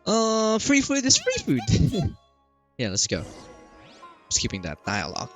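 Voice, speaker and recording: A woman's voice: a little high, huge pitch swings, slow. A woman giving casual, informal, rambling commentary as a gaming video host. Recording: faint game music behind.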